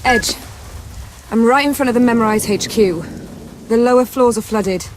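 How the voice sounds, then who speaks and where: A woman's voice: a little high, normal speed, a little hiss or hum, natural voice. An actress playing a wry, defiant young female freedom fighter in a video game, with a questioning manner. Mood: tense, sarcastic.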